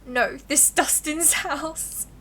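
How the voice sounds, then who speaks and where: Woman doing a timid little possum, halting broken phrases. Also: high voice, sharp tone, a little hiss or hum, pitched above the performer's own voice, full character voice. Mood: shy, content. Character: timid, sweet little possum speaking broken simple sentences. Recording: background music behind voice.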